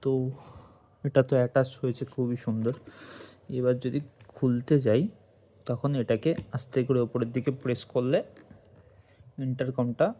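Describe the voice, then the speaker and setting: A man's voice: medium pitch, fairly even intonation, normal speed, pauses often, warm tone, a little hiss or hum. A man giving a casual hands-on tutorial, explaining step by step and speaking directly to viewers. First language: Bengali. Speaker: motovlogger and product reviewer.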